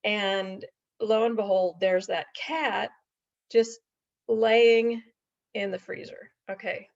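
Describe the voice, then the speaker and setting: A woman's voice: medium pitch, steady tone, slow, creaky. A middle-aged woman telling a casual story in a folksy, chatty way, full of asides and check-in questions. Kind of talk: casual anecdotal storytelling to an audience. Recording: dull lo-fi recording.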